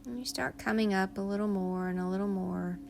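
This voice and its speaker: A woman leading a guided meditation in a soothing, unhurried manner, leaving long pauses between phrases. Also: medium pitch, steady tone, creaky, music in the background.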